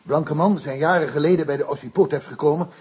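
An African tribesman giving testimony in a radio drama. His voice is very husky and fairly high for a man, and he speaks in simple, broken foreigner's sentences. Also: darkish tone, highs cut off. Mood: solemn, recounting. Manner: broken, foreigner's grammar, simple halting phrases. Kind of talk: radio play acting.

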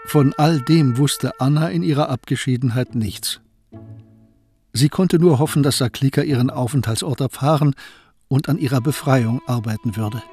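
A man narrating a radio play in a measured, storytelling way. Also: medium pitch, warm tone. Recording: faint music under the voice.

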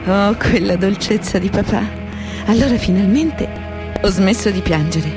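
A woman's voice: mid-range voice, a little slow, natural voice. An actress playing a defiant, anguished woman who informs on the mafia. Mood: grieving. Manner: intense, emotive, broken into short dramatic phrases. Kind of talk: dramatic radio theatre monologue. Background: music.

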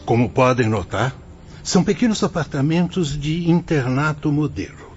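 An actor playing a benevolent priest guide in a Brazilian radio drama, speaking in a solemn, measured, didactic way with a Brazilian accent. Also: male voice, a little high, expressive, a little mellow, faint music behind, natural voice. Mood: gentle, instructive. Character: benevolent priest guide in a spirit-world reformatory. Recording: dull lo-fi audio with faint noise.

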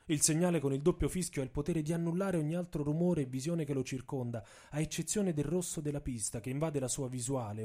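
A man's voice: mid-range voice, warm tone. A man narrating radio theatre as a dramatic scripted story, with a theatrical, intense delivery.

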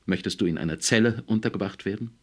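Narrator doing a stern academic husband, deep creaky voice, strained and barely holding it together, audiobook. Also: male voice, animated, moderate pace, a bit bright, exaggerated voice. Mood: agitated anger. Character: distraught male historian, stern husband barely keeping control.

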